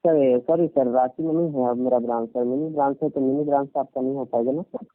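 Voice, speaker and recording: A man's voice: mid-range voice, steady tone, quick pace. A man talking like a phone loan agent, insistent and repetitive, interrupting and constantly using polite forms of address. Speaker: caller presenting himself as finance company loan agent. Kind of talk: persuasive phone sales talk. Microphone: telephone. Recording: tinny narrowband phone call recording.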